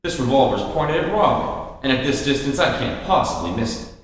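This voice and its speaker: Man as menacing mastermind, mock-polite, animated delivery, radio play, big echoey hall, slight noise. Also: mid-range voice, a little fast, natural voice. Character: suave, menacing male villain mastermind. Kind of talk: old-time radio play acting on stage.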